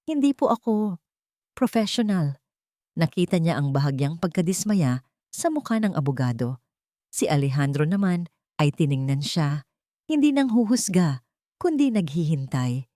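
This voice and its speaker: A woman with a Filipino accent narrating a dramatic scripted story in deliberate, short phrases with pauses. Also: sits low, lively intonation, dark tone, no echo, studio quality.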